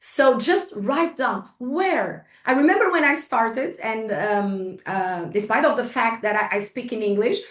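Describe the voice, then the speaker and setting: High-voiced Portuguese woman in an echoey room. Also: lively intonation, a little slow, few pauses. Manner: chatty, frequent restarts and fillers, laughs at herself. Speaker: guest speaker. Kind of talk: motivational workshop talk. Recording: echoey room, distant from the mic.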